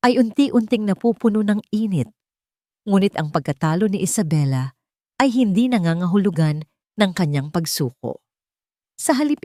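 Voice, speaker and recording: A woman with a slightly low voice narrates a scripted romantic drama. The sound is dry and close, and her delivery is that of a storyteller reading prose. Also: mellow, no echo.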